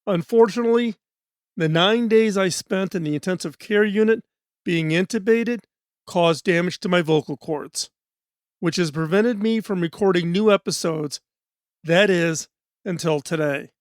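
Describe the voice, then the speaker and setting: A middle-aged man hosting a podcast in a studio. He talks in an earnest, measured, scripted way, and his voice is hoarse. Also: high-pitched, no echo. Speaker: podcast host.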